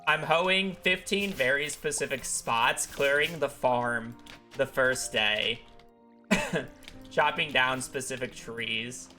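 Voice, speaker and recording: A man's voice: mid-range voice, takes it slowly, bright, forceful tone, faint music behind. A man talking casually over a live gaming stream, explaining at length with many fillers, his voice congested from a recent illness. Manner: rambling, filler-heavy explanations.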